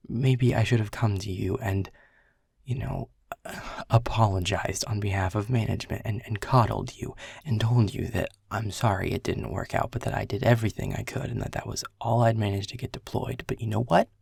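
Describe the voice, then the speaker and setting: A man's voice: fairly low pitch, fairly even intonation, moderate pace, vocal fry, darkish tone, slightly rough, dry recording, studio quality, heavily acted. A man playing a world-weary male middle manager who is blunt and swears, confessing in a half-whisper. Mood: frustrated. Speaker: boss. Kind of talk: audio roleplay acting.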